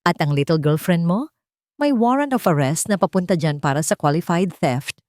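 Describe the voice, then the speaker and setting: A woman's voice: lowish voice, animated, moderate pace, darkish tone, dry recording, clean studio sound, close to an everyday voice. A woman with a Filipino accent voicing a ruthless rich villainess aunt in an audio love story, mocking and imperious. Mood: gloating.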